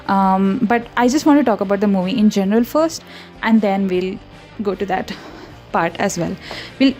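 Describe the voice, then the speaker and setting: A young woman from India speaks English as a second language, rambling happily in a casual voiceover with frequent little laughs and restarts. Her tone is mellow and warm rather than bright. Light music plays faintly under her voice. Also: mid-range voice. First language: not English. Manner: rambling, chatty, frequent giggles and self-corrections. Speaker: art channel host. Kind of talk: casual voiceover over a speedpaint.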